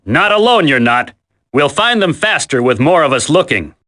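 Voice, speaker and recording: An actor playing a cocky, wisecracking, flippant commando in a dramatic video game. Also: male voice, fairly high pitch, big pitch swings, moderate pace, bright, forceful tone. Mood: brisk.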